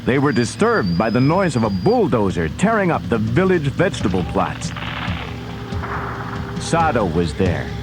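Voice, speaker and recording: A man's voice: medium pitch, huge pitch swings, measured pace, over music, poor audio. A man narrating a children's story recording from a script in a dramatic storytelling style.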